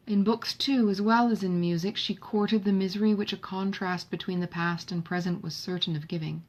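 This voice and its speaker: A woman narrates a classic novel for an audiobook. Her voice is medium in pitch and husky rather than crisp. She reads in a measured, literary way, with expression in the phrasing.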